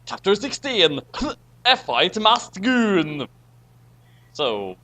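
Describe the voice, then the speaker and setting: A man's voice: high-pitched, big pitch swings, very slow, bright, forceful tone. A man hosting a casual group read-aloud, commenting and stumbling over the words as he reads garbled text. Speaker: host of a group fan-fiction reading. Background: background music.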